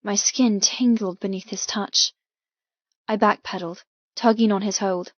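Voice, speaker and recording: A woman reading first-person fiction as an audiobook narrator, with dramatic, measured storytelling. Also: mid-range voice, fairly even intonation, no echo, lo-fi sound.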